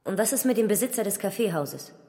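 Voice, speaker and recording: A woman playing a haughty, sharp-tongued queen in a radio play, with a husky, breathy voice. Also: medium pitch, lively intonation, fast, no echo, clean studio sound. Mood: indignant.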